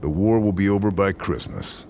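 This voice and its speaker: Wartime intelligence officer, very low voice, grave, measured, scripted game briefing, faint rumbling noise behind. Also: male voice, sing-song, vocal fry, a little mellow, exaggerated voice. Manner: measured, serious. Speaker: mission briefing officer narrator. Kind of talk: scripted military briefing narration.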